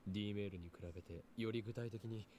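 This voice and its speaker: An actor playing an intense, self-serious young amateur scientist in a visual novel, explaining things seriously. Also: male voice, fairly low pitch, steady tone, very fast, noisy background, close to an everyday voice. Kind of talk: Japanese visual novel voice acting.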